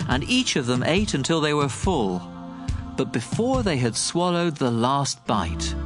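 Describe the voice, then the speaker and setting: A man narrating scripture as a dramatic, scripted audio reading. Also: on the high side. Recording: music bed under the voice. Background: music.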